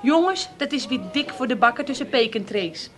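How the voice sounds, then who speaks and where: Breezy cafe boss, straight talker, highish bouncy voice, radio comedy. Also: female voice, lively intonation, fairly quick, a bit bright, soft background music. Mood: cheerful, persuasive. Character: breezy, forthright female coffee shop owner. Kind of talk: 1980s Dutch comedy radio play acting.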